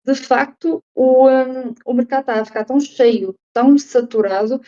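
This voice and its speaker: A woman with a European Portuguese accent, raised in Portugal, giving an online talk slowly and deliberately, with many fillers. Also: medium pitch, dark tone, lo-fi sound. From Brazil, raised in Portugal. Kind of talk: live online presentation.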